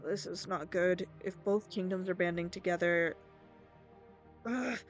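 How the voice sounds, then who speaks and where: A woman's voice: on the low side, fairly even intonation, unhurried, highs cut off, faint music behind, natural voice. A woman voicing a fiercely protective, determined older sister in an amateur Minecraft roleplay, commanding and wry. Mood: concerned, thoughtful.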